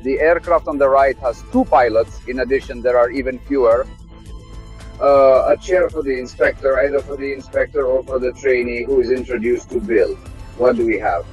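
A middle-aged man, a test pilot from Russia, giving a measured, technical tour of an aircraft cockpit. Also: mid-range voice, a little mellow. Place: aircraft cockpit inside a hangar. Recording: slightly dull sound, faint music behind.

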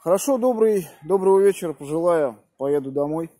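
A man's voice: on the high side, expressive, fairly quick, warm tone, breathy edge, dry recording. A man in his thirties chatting as an amateur radio operator and spelling out call signs, recorded outdoors on a phone held at arm's length. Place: outdoors in a snowy park at evening. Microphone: phone camera at arm's length.

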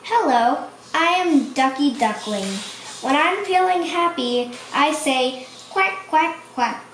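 Woman reading as a high-pitched little storybook duckling. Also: expressive, slow, fairly bright tone, far from the mic, exaggerated voice. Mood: happy, playful. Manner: simple sing-song rhyming storybook delivery. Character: young duckling, playful, childlike, fond of rain. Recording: echoey room, faint noise.

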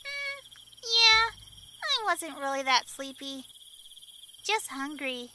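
A woman's voice: sits high, very animated, takes it slowly, noisy background, heavily acted. A woman voicing a girlish, sly and hungry cartoon creature in a comic dub, coy and sing-song. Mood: evasive, coy.

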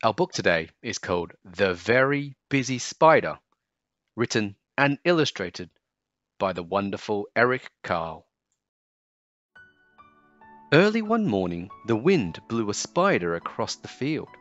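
A man reading a children's book aloud as narrator, in a measured and expressive storytelling manner. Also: fairly low pitch, no echo, lo-fi sound. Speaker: storybook narrator, channel host. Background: music.

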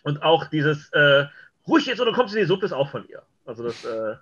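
A man's voice: on the high side, sing-song, fairly quick, a bit bright, muffled recording, slight background noise. A middle-aged man telling casual anecdotes as an interview guest on a video call from home. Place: home room lined with shelves, on a video call.

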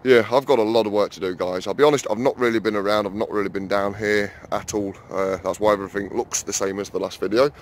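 Low-voiced man, rambling vlogger, chatty, filler-heavy, faint outdoor hum behind. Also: steady tone, fast talker, few pauses. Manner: rambling, chatty, many fillers, keeps calling viewers guys. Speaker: allotment vlogger. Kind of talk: casual walk-and-talk vlog. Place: outdoor allotment, then small garden shed.

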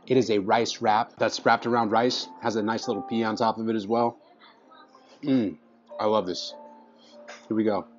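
A man's voice: lowish voice, fairly even intonation, normal speed, long pauses. A man in his thirties reviewing food to camera at a restaurant table, eager and chatty, often restarting his phrases between bites. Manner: enthusiastic, restarts phrases while tasting. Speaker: food vlogger. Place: indoor restaurant dining room. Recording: slightly dull sound, faint music behind.

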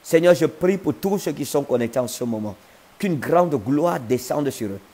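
Brief: thirties male preacher, fervent slow prayer and repetitive emphatic sermon, earphone mic, home living room. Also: on the high side, animated, dark tone. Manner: fervent, slow incantatory praying in tongues. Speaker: prophet. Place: living room with a sofa, indoors. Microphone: wired earphone mic.

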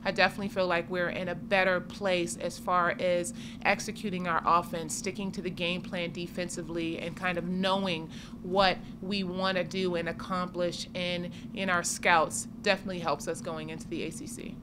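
A woman in her forties, a head coach, answering postgame questions in a measured, steady way at a table mic in a press room. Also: on the low side. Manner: measured, steady, many "I mean" and "you know". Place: press conference room with sponsor backdrop. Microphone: table mic in front of her. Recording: noisy room ambience.

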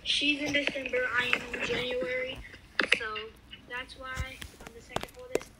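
Young girl chatting over loud game noise. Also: sits high, sing-song, moderate pace. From California. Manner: rambling, restarts often, drops to whispers. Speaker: gaming channel co-host. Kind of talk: casual gameplay chat.

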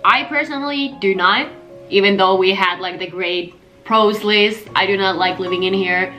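A woman's voice: medium pitch, normal speed, bright, forceful tone, muffled recording. A woman in her twenties from Finland, speaking with a Finnish accent and hosting a casual question-and-answer chat. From Helsinki, Finland. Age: 20s. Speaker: vlog host. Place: small home kitchen, indoors. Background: music.

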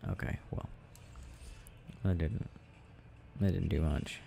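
Young male, mellow and creaky, soft ASMR gaming ramble, quiet musing delivery, slight background noise. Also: sits low, steady tone, slow pace. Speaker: audio roleplay creator. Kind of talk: soft casual rambling while gaming, ASMR sleep aid.

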